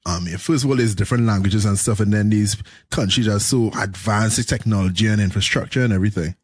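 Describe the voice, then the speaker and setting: A man's voice: fairly low pitch, fast talker, breathy edge, dry recording. A man hosting a podcast interview in a friendly, chatty way. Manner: friendly, chatty, laughs easily. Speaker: podcast host.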